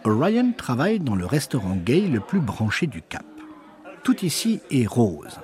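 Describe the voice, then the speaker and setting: A man's voice: mid-range voice, huge pitch swings, unhurried, darkish tone. A man narrating a reportage from a prepared script. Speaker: documentary narrator.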